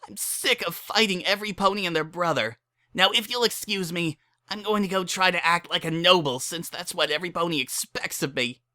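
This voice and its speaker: A woman's voice: lowish voice, brisk, bright, forceful tone, dry recording, pitched above the performer's own voice. A woman voicing an angry, heartbroken young female pony in a dramatic story reading, impassioned and pleading. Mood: sobbing, bitter.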